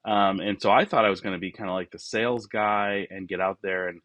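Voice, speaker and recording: A man of forty-nine talking casually and reflectively as an interview guest, often voicing his remembered thoughts in a halting way. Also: lowish voice, fairly even intonation, fairly bright tone. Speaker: podcast guest, business founder.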